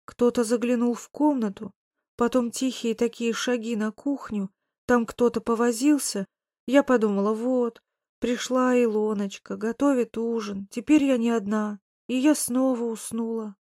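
A woman's voice: fairly high pitch, fairly even intonation, normal speed, dark tone, no room sound, clean studio sound, natural voice. Woman acting a frail, muttering old woman. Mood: dreamy, plaintive. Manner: muttering, plaintive.

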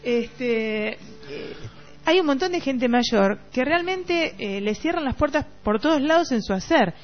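Female radio host, middle-aged, Rioplatense accent, reflective and halting, with music playing behind a muffled recording. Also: high voice, expressive. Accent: Rioplatense Argentine Spanish. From Argentina. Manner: halting, searching for words. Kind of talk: casual reflective radio discussion.

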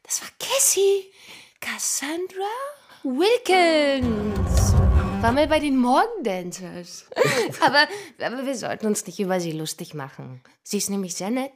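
An actress playing a chatty, teasing, moody out-of-work young stage performer in a radio drama, breezy and dramatic. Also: female voice, fairly high pitch, very animated, measured pace, breathy edge, heavily acted. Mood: chatty, confiding. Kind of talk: naturalistic radio drama acting.

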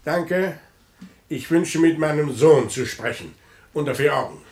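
A man's voice: fairly high pitch, unhurried, a little mellow. A man playing a stern, commanding older father in a German radio play, formal and imperious in manner.

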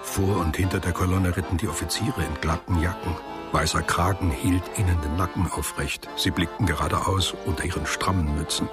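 Man as low-voiced radio play narrator, reflective, careful and precise wording, music playing under him. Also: fairly even intonation, brisk, lightly acted. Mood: reflective, measured. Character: reflective male author-narrator recounting a boy's postwar memories. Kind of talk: literary radio drama narration.

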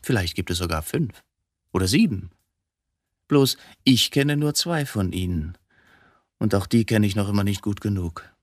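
An actor in a radio drama playing a coolly provocative, philosophical man who has confessed to killing his wife, speaking deliberately in probing rhetorical questions. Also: on the low side, expressive, no room sound, studio quality. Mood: calm, insinuating.

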